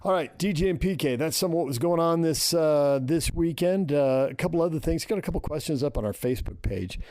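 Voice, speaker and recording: A man's voice: a little high, animated, a little fast, darkish tone, dry recording. An American man hosting a sports radio show, talking casually and thinking aloud in short phrases.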